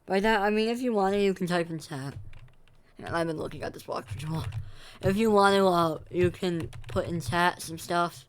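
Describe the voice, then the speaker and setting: A man's voice: high-pitched, moderate pace, slight background noise. A young man streaming a game, chatting casually and rambling to his viewers between reactions. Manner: rambling, self-correcting, talks to chat between game reactions. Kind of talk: casual live-stream chatter while gaming.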